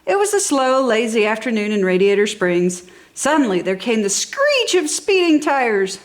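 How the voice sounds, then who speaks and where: Enthusiastic woman reading a children's storybook, higher-pitched. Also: very animated, normal speed. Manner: enthusiastic, dramatic emphasis. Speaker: storybook reader. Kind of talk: expressive children's story narration.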